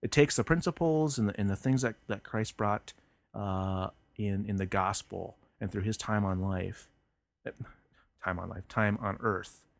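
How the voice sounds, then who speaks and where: A man's voice: fairly low pitch, vocal fry, no echo. A man hosting a podcast, talking earnestly and reflectively about faith, with frequent restarts and fillers. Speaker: podcast host.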